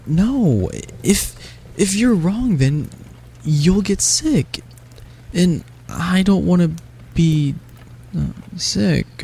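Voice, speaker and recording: Sick, scared young catboy for an audio roleplay, voiced by a man: soft, stammering, husky. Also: on the high side, heavily acted.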